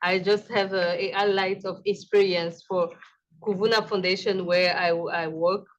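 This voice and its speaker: A woman moderating a panel, asking questions slowly and carefully, with some non-native grammar. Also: medium pitch, steady tone. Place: conference side event. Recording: dull lo-fi audio.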